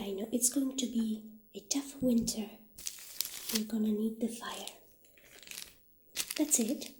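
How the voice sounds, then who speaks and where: Female, high soft voice, slow and hushed with whispering, ASMR witch-shop roleplay, slight noise and clicks in recording. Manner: gentle, hushed, unhurried, addressing the listener as a customer. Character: soft-spoken witch shopkeeper, calm and obliging. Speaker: ASMR roleplay performer playing a shopkeeper.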